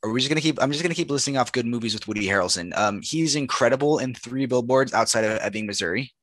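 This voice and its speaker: A man's voice: medium pitch, lively intonation, fast, a bit bright, no room sound, clean studio sound. A young man co-hosting a podcast, telling rambling jokey stories in casual chat with lots of fillers. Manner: rambling storyteller, joking, with frequent fillers. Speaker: podcast co-host.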